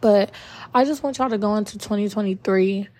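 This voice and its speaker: Raspy young woman hosting a podcast. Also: medium pitch, fairly quick, dark tone. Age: 20s. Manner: chatty, rambling, many ums. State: just woke up from a nap. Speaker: podcast host. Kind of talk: casual solo podcast monologue.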